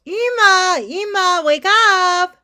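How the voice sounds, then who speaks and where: A woman's voice: very high voice, slow, bright, forceful tone, heavily acted. A woman playing an anxious, coaxing mother in a staged reading of a drama, fussing and placating. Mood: gently nagging. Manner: pleading, fussing.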